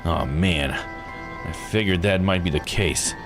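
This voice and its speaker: A gruff police detective from a visual novel, acted out by a man, speaks low and casually. He grumbles like someone tired of strange cases, over clearly audible music. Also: slow pace. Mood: uneasy. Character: gruff, world-weary male police detective. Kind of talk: voicing visual novel dialogue.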